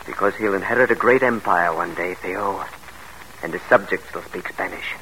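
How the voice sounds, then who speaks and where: A man's voice: low voice, moderate pace, sharp tone. An actor in a classic radio drama playing a scheming, grandiose exiled father with a commanding, theatrical manner. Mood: insistent. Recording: noisy old radio drama recording.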